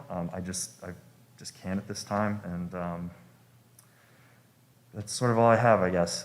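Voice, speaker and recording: A young man giving informal testimony as a homeowner at a public hearing, speaking politely and deferentially with some hesitation. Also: deep voice. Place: public meeting room.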